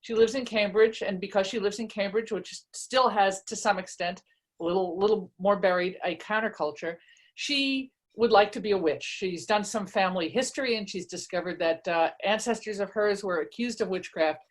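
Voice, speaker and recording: A woman's voice: medium pitch, moderate pace. Chatty, self-deprecating woman author. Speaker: author presenting and reading from their own book. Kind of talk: casual book talk and read-aloud.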